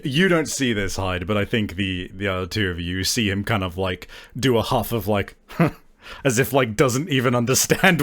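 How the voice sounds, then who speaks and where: A man with a fairly low voice runs a tabletop game as game master. He describes scenes casually, feeling his way through phrases in an improvised, halting manner. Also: a bit bright.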